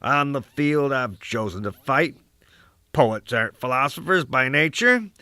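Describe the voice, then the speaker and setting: Man performing aging American poet, literary monologue, crusty, oratorical, rambling, sharp forceful tone, dry recording. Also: mid-range voice, animated, unhurried, no echo. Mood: musing, declamatory. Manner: crusty, oratorical, musing, with salty asides. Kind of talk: dramatic first-person literary soliloquy.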